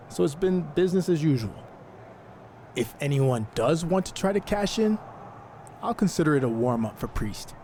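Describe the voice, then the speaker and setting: An actor playing a confident, defiant wrestler in a video game, answering an interview in a matter-of-fact way. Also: male voice, medium pitch, darkish tone, slight background noise, lightly acted.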